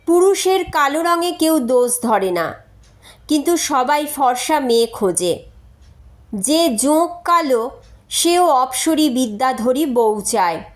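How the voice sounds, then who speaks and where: A woman's voice: high voice, animated. A woman reading aloud as a candid, courteous young woman writing a frank letter in a story. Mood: candid, polite. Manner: frank, polite, deliberate.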